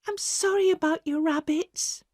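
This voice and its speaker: A woman's voice: very high-pitched, fairly even intonation, a little slow, darkish tone, dry recording, clean studio sound, pitched above the performer's own voice. Woman voicing a pleading little girl. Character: eager tearful nine-year-old girl. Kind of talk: audiobook character voice.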